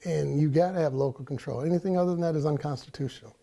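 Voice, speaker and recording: A man's voice: on the high side, very fast, creaky, dark tone. A man who is a school board president, speaking firmly and plainly in a news interview. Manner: firm, plain-spoken.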